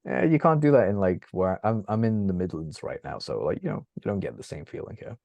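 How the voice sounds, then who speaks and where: A man, chatting as an interview guest from his bedroom at home, animated and laughing often. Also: low-pitched, fairly quick, creaky, dry recording. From England. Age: 30s. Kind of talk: casual interview chat. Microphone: video call.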